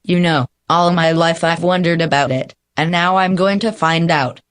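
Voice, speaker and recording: An actor playing an easygoing young city lawyer in a 1940s radio drama, casual and wry. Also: male voice, on the high side, steady tone, moderate pace, a bit bright, clear, resonant tone, natural voice.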